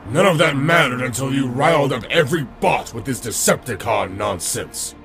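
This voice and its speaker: A man's voice: medium pitch, animated, normal speed, vocal fry, music in the background. An actor playing a smug, domineering robot lawman in a comic dub, with a condescending, sardonic manner. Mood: scolding. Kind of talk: dramatic comic dub acting.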